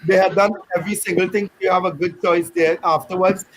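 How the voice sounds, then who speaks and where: A man's voice: high voice, steady tone, fairly quick, slight background noise, rough recording. A man hosting a panel discussion, chatty and joking in a loose, easy way. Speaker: host.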